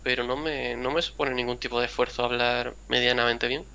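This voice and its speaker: A man's voice: mid-range voice, fairly even intonation, brisk, gravelly, pushed and bright, smooth. A young man from a town near Seville with a mild Andalusian accent, chatting casually and rambling as he hosts a talk over a video game. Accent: mild Andalusian Spanish. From Spain, a town near Seville. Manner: rambling, chatty, acts out quotes. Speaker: gaming channel host.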